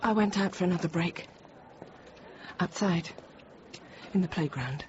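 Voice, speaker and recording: An actress playing a thirty-year-old Parisian teacher, recalling an ordeal in measured, halting, controlled radio drama narration. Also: female voice, on the low side, darkish tone, close to an everyday voice. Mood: dread, subdued. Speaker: lead actor and narrator. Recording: dull, noisy radio drama recording.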